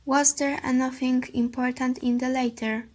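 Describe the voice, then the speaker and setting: Forgetful, unbothered errand-runner played by a schoolgirl in a radio play; highish, even pitch. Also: normal speed. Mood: sheepish. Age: child or teenager.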